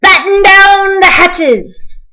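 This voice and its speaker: Storybook pirate captain, played by a woman: loud, blustering and bossy, shouting high with big swooping delivery. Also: lively intonation, full character voice. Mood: urgent. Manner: bellowing, hearty, drawn-out shouts.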